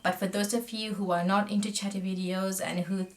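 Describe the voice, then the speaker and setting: A woman's voice: mid-range voice, flat delivery, fairly quick. A woman in her thirties from Sri Lanka, chatting at a close camera while doing her makeup, friendly and talkative, often trailing off mid-sentence. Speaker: makeup vlogger. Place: small indoor room, plain backdrop. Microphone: camera facing her at close range.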